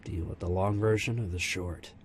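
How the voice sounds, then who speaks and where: A man voicing a grave, conscience-stricken male canine soldier in a fantasy audio story, with a deliberate, dramatic delivery. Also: sits low, fairly even intonation, breathy edge. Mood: grave, troubled.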